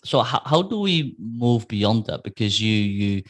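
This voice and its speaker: Male co-host, lowish voice, hesitant and halting. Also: very animated. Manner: hesitant, many fillers and restarts. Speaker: interview host. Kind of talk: conversational interview questions.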